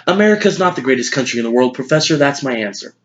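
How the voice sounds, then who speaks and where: A man's voice: mid-range voice, lively intonation, fast talker, a bit bright, close to an everyday voice. A man playing a blunt, exasperated middle-aged news anchor in an emphatic, lecturing dramatic monologue. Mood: scornful, heated. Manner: emphatic, lecturing, list-driven delivery. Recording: dull lo-fi audio.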